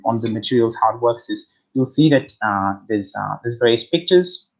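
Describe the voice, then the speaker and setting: A man's voice: mid-range voice, husky, poor audio. An Australian man hosting an online talk, speaking with many fillers and restarts over a video call. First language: English. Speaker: host.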